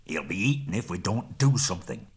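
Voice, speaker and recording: A man's voice: sits low, big pitch swings, a little fast, breathy edge, heavily acted. A man voicing a commanding male dwarf leader who gives urgent orders in a storybook reading.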